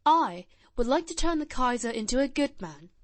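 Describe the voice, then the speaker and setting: A woman reads as a grave little boy of almost ten, talking in a shy, blunt, earnest way. The voice sits high and swings widely up and down. The sound is dry and close. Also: big pitch swings, normal speed, dry recording.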